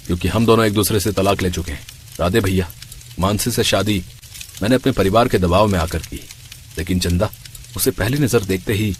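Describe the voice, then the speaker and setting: A man's voice: fairly low pitch, slight background noise, natural voice. A man playing an earnest, reassuring husband in an acted story. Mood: earnest, pleading. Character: earnest-sounding deceitful husband, soft-pleading young man. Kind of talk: acted Hindi audio story dialogue.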